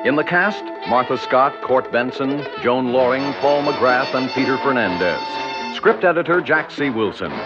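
Radio announcer man over loud music. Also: mid-range voice, animated, normal speed. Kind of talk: formal scripted 1960s radio credits. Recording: dull old radio recording, loud music. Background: loud orchestral music.

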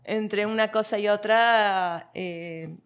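A woman's voice: medium pitch, steady tone, takes it slowly, smooth. A woman from Argentina, a guest in an interview, speaking reflectively with many fillers and light laughs. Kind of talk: conversational interview.

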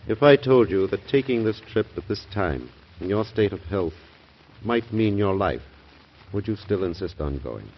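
An actor playing a gruff, protective physician, blunt and grave, in a vintage radio drama. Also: male voice, fairly low pitch, lively intonation, moderate pace. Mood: gruff concern. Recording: dull, hissy old radio recording.

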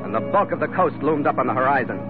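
A man's voice: medium pitch, lively intonation, fast, lightly acted. Guy acting a 40s radio ship captain narrating adventure, confident, dull old recording w music. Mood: satisfied, relieved. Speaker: actor narrating and playing the lead.

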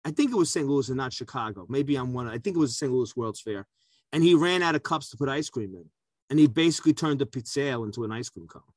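A man's voice: medium pitch, lively intonation, quick pace, no room sound. A talkative man co-hosting a food podcast, telling stories and jokes in a casual chat. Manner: talkative, joking storyteller. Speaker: podcast co-host.

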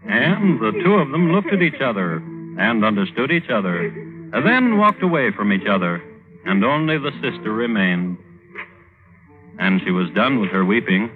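A man's voice: lowish voice, sing-song, normal speed, phone-quality sound. Wry radio storyteller, man, with music. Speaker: host and narrator. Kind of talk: 1950s radio scripted narration. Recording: band-limited old radio recording.

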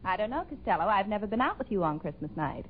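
A woman's voice: medium pitch, big pitch swings, fast talker, lightly acted. A woman playing a haughty, romantic young woman being courted, in lofty 1940s radio comedy style. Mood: coolly dismissive. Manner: theatrical, lofty. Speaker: actress in comedy sketch. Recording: muffled old radio with faint noise.

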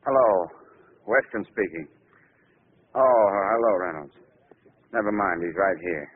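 An actor playing a gruff, easily flustered police commissioner, blustering in 1940s radio style. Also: male voice, fairly low pitch, expressive, unhurried. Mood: flustered, boastful. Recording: noisy, tinny old radio broadcast recording.

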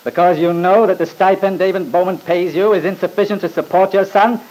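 A man's voice: high voice, steady tone, brisk, a little mellow, close to an everyday voice, low-quality recording. A man playing a pompous, badgering attorney in an old radio drama, formal and sarcastic. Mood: scornful. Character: scornful, badgering male attorney, pompous and theatrical.

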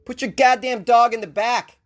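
A man's voice: extremely high pitch, steady tone, normal speed. A man with a Boston accent hosting talk radio, ranting sarcastically about the news and laughing often. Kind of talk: opinionated news commentary.

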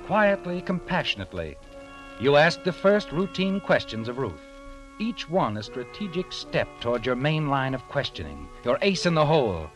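An actor narrating an old-time radio courtroom drama in the second person, with a suspenseful, deliberate manner. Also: male voice, a little high, big pitch swings. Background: music.

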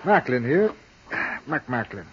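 An actor playing a gruff, breezy American aviation inspector in a 1950s radio drama, husky and slangy in a talkative, folksy way. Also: male voice, medium pitch, very animated, a little slow, full character voice. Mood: breezy, welcoming. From south side of Chicago, United States. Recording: muffled 1950s radio recording.

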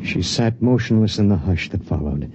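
An actor playing a grim, burdened space pilot in a 1950s radio drama. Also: male voice, fairly low pitch, lively intonation, moderate pace, very noisy, lightly acted. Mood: grim, haunted. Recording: muffled 1950s radio, music behind.

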